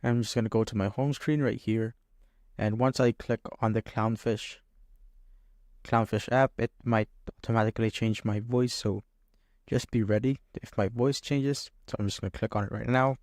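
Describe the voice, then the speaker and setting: Male tutorial host, fairly low voice, casual instructional delivery, dry close-miked recording. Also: steady tone, moderate pace, darkish tone, no room sound. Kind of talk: casual step-by-step software tutorial. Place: indoors at a computer. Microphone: USB condenser microphone, close.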